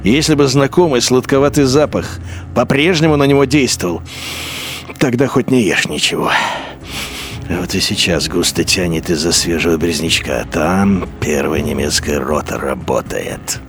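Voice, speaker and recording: A bitter turncoat officer. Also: male voice, sits low, normal speed. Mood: brooding. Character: bitter, haunted male turncoat officer, once a starving prisoner. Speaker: character in a radio play. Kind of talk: radio drama inner monologue. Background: music.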